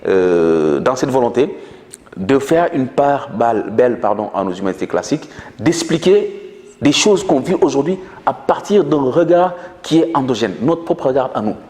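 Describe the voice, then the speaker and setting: A man's voice: mid-range voice, big pitch swings, moderate pace, a little mellow, reverberant, big-room sound. A man whose first language is Manjak, explaining ideas earnestly in an interview, with frequent hesitations. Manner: earnest lecturing tone with many hesitations. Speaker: guest.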